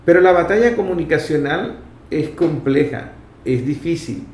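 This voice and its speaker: Venezuelan guy doing political radio commentary, kinda high voice, preachy teacher style, echoey room. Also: lively intonation, normal speed, a little mellow. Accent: Venezuelan Spanish. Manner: emphatic, didactic, repeats key phrases. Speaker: radio program host and commentator. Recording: roomy echo, faint background noise.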